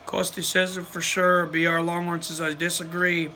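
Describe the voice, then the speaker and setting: Bright, high-voiced man hosting a live stream. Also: flat delivery, moderate pace, a little hiss or hum. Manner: chatty, reads viewer comments aloud, urges likes. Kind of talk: casual live-stream commentary reading chat.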